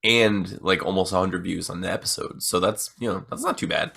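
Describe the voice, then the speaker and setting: A man's voice: deep voice, steady tone, brisk, creaky, bright, forceful tone, breathy edge. A man in his thirties co-hosting a podcast, talking casually with lots of restarts and laughs while he gets over an illness. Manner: rambling, laughing, restarts often. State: recovering from illness. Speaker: podcast co-host. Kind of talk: casual chat.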